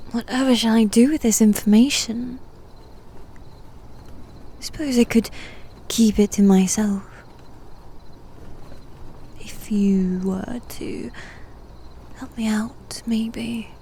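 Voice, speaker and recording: Casting: haughty female demon knight, played by a woman for ASMR roleplay; highish, mellow, teasing, often whispered. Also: steady tone, exaggerated voice. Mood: sly, taunting. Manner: soft, breathy, often whispered, deliberate and teasing.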